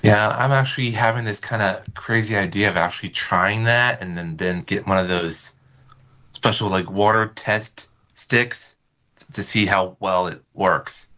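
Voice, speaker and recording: A man's voice: fairly low pitch, a little slow, sharp tone. A man as a podcast guest, explaining things in casual, loosely phrased chat with tentative wording.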